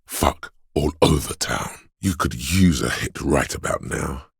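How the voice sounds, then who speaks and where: A man voicing the hedonistic inner voice of addiction in a video game, a sleazy tempter in the brain with a gravelly, husky, goading drawl. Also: extremely low pitch, measured pace, no room sound, studio quality, exaggerated voice. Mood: sleazy, coaxing.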